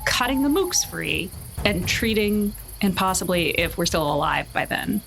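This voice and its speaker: Female roleplaying gamer, conversational delivery, mid pitch, noticeably noisy audio. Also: big pitch swings, unhurried, creaky, fairly bright tone. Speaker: tabletop game player. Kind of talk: casual game table talk.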